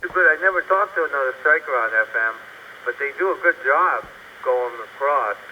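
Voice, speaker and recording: A distant, dull CB radio signal carries a man in casual chat. Clear static runs along with his voice. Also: on the high side, animated, a little fast. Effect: CB radio transmission. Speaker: CB radio operator.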